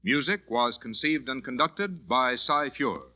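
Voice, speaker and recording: A man's voice: mid-range voice, expressive, unhurried. A man reading scripted credits as a formal, polished old-time radio announcer. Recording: dull old radio recording.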